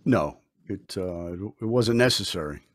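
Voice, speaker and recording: A man's voice: deep voice, animated, normal speed, no echo. Man playing a kindly, earnest doctor, dry sound. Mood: polite, mild. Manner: earnest, uplifting, formal speech. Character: kindly, serene, mysterious male hospital doctor. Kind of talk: old-time radio drama acting.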